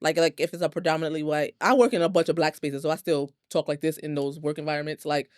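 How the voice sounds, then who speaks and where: A woman's voice: low-pitched, steady tone, quick pace, no room sound, clean studio sound. Emphatic American woman talking casually. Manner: emphatic, explanatory, slangy with swearing. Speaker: podcast panelist. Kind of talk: casual opinionated discussion.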